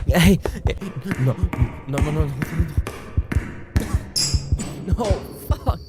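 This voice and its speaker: A man playing an obsessive stalker boyfriend in a dramatic roleplay audio, halting and pleading, with murmured endearments and nervous chuckles. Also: fairly high pitch, expressive, loud music behind the voice, close to an everyday voice. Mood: panicked, pleading. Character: obsessive secret yandere stalker boyfriend, tender turning desperate.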